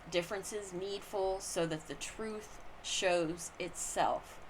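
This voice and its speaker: A woman reads legal commentary aloud in a deliberate, formal manner. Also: on the low side, fairly bright tone, a little hiss or hum, poor audio. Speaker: audiobook-style reader.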